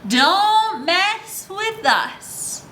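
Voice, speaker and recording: High and bright, these are tiny storybook forest creatures, as a woman reads them aloud, boastful and bossy. Every declaration is slow, proud and emphatic, made for young children. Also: sing-song, heavily acted. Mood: bossy, defiant. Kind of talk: picture book read-aloud for young children. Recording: roomy echo, faint background noise.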